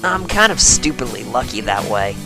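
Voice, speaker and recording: A man voicing a brash, lovestruck young female pegasus pony in a strained, raspy voice for a fan fiction reading. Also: medium pitch, sing-song, over music, close to an everyday voice. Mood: giddy, dreamy. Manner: halting, gushing, smitten. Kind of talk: first-person fan fiction reading in character.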